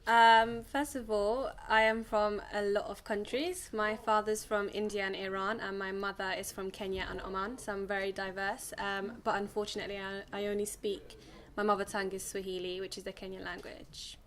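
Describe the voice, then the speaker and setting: Young woman, flat measured speech. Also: mid-range voice. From England. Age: young adult. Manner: even, measured delivery with frequent ums. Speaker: guest. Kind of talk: casual studio interview.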